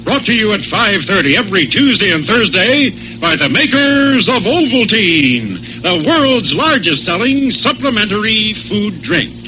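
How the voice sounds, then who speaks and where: A man's voice: high voice, big pitch swings, a little slow, few pauses, noisy background. A man announcing and narrating a 1940s radio adventure serial in a dramatic scripted style. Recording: dull old radio broadcast recording.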